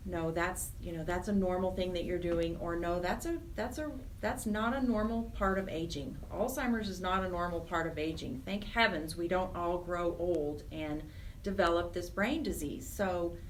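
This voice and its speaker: Earnest woman explaining, noisy background. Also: fairly low pitch. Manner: earnest, repeats and rephrases. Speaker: guest, charity program director. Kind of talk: radio interview, explanatory talk. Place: radio interview. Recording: clear background noise.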